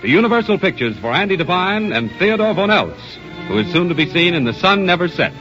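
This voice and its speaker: A man's voice: high voice, sing-song, brisk. An American man reading scripted announcements and commercials as a 1930s radio announcer. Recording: dull 1930s radio recording with music.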